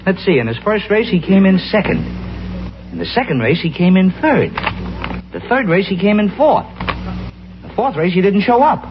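An actor playing a slangy, fast-talking wise-guy boyfriend in a 1950s radio comedy. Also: high voice, very animated, dull audio, background noise. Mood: wisecracking. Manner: slangy, wisecracking. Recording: old radio recording with loud studio audience.

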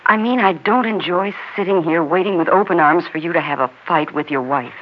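The other woman actress, American, lonely, wounded, clear-eyed; 1960s radio drama on a muffled, faintly noisy recording. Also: lowish voice, a little fast, natural voice. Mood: hurt, resigned.

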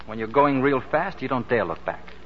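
A man's voice: medium pitch, animated, moderate pace, close to an everyday voice. A man acting a dashing, impulsive suitor in an old radio drama, with a suave manner. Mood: ardent. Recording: muffled, noisy 1940s radio recording.

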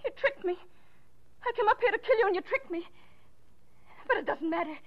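An actress in a 1940s radio mystery playing an unhinged, boastful murderous wife, agitated and insistent. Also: female voice, very high voice, lively intonation, fairly quick. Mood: furious, boastful. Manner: agitated, insistent, obsessively repeating herself. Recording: muffled vintage 1940s radio recording.

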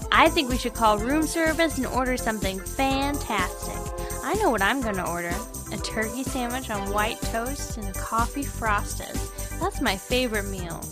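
A breezy young actor, read by a woman with a high voice, chatty and theatrical. He is cheeky and wryly amused with himself in this storybook dialogue, with music clearly behind. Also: animated, normal speed, natural voice.

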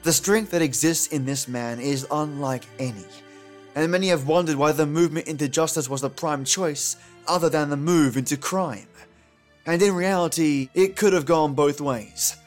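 A man's voice: a little high, moderate pace. Theatrical man hosting over music. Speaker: podcast host. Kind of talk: scripted dramatic narration.

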